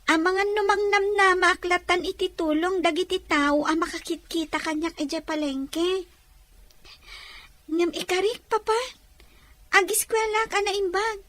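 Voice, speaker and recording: A woman's voice: very high-pitched, fairly bright tone, dry recording. A woman playing a grateful, earnest young blind daughter in an Ilocano radio-style drama. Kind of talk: Ilocano audio drama acting.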